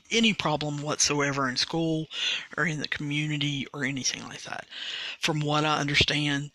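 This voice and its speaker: Woman podcaster, bright forceful tone, unhurried delivery, dry close sound. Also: low-pitched, no echo, low-quality recording. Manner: earnest and explanatory, with frequent restarts. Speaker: podcast host. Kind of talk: solo sports podcast commentary.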